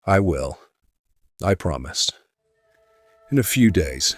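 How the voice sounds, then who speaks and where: An actor playing a weary, gentle and steadfast priest in an audio drama, speaking solemnly and earnestly. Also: male voice, deep voice, sing-song, slow pace, dry recording, studio quality, faint music behind, exaggerated voice.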